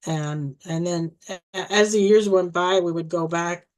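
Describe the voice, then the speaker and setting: A woman in her sixties, originally from Egypt, telling her life story in a casual, reminiscing way, pausing and restarting often and laughing softly now and then. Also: fairly low pitch, low-quality recording. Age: 60s. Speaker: family storyteller.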